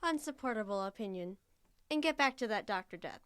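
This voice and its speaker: An actress playing a nosy, morbidly eager young true-crime obsessive in an audio drama, wry and insistent. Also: female voice, mid-range voice, expressive, a little fast, fairly bright tone, clear, resonant tone, dry recording, lightly acted. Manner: wry, insistent, theatrical emphasis. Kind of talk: live audio drama acting.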